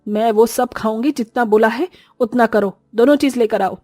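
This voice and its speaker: A woman's voice: on the high side, moderate pace, a little mellow, no room sound, soft background music, close to an everyday voice. A woman voicing a haughty, scornful older rich mother-in-law who scolds and orders people about in a moral-story cartoon. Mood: bossy. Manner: scolding, imperious.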